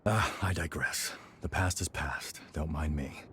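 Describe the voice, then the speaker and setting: An actor playing a seasoned male warrior and loyal mentor in a video game, speaking in a measured, earnest, reflective way. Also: very deep voice, sharp tone, slight background noise, exaggerated voice. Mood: reflective, wistful. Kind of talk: video game dramatic dialogue.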